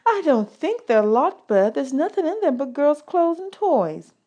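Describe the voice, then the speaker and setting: A woman voicing a kindly grown woman who gently reassures a nervous boy in a children's audiobook. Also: high-pitched, sing-song, measured pace, voice pushed up. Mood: amused, kind. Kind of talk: children's audiobook character dialogue.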